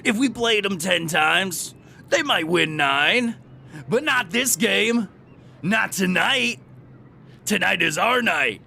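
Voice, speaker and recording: A male actor's high school football coach for an audio drama, rousing the team with slow, deliberate emphasis. The voice is highish, very forceful and gritty with fry. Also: animated, slight background noise, full character voice. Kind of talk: motivational pep talk.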